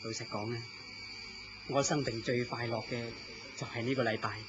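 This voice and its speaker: An actor playing a gentle, melancholy man in love, speaking earnestly and theatrically in Cantonese radio drama style. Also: medium pitch, fairly even intonation, normal speed, natural voice. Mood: melancholy, lovestruck. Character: lovestruck, gentle, self-deprecating melancholy man. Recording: dull lo-fi audio with noise.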